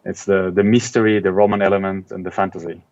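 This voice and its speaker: A middle-aged man from Israel, an author being interviewed, speaking in a thoughtful, casual way with many pauses and ums. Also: low-pitched, level tone, darkish tone, dull audio.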